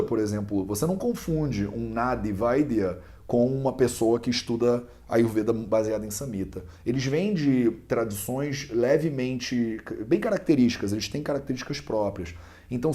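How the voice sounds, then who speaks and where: A man's voice: mid-range voice, dark tone. A young man with a Carioca accent from Brazil, patiently explaining a subject in an interview with everyday analogies and some restarts. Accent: Carioca Brazilian Portuguese. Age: young adult. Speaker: Ayurveda student interviewed as a guest.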